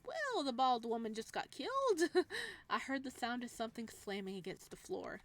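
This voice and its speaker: A woman hosting a game read-through, switching between narrating a visual novel and casual, excitable, giggly commentary. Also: fairly high pitch, moderate pace, dry recording. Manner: excitable, giggly, reacts to the story. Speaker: gaming channel host.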